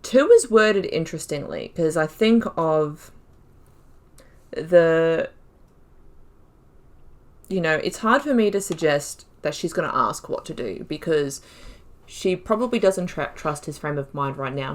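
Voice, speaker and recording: A woman's voice: deep voice, lively intonation, a little slow. A woman hosting a gaming video, thinking aloud in casual, informal, wry commentary as she talks through the story.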